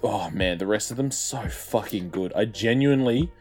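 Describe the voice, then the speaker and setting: A man's voice: fairly low pitch, a little mellow, soft background music. A young man co-hosting a casual podcast chat, swearing often and getting emphatic as he rants. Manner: sweary, emphatic, quick to rant. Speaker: podcast co-host.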